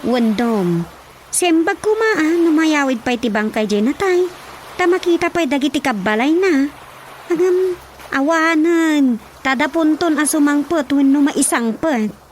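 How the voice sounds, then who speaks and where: A woman's voice: sits high, animated, measured pace, a little hiss or hum. A woman with an Ilocano accent playing a village mother in a Filipino radio drama. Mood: worried.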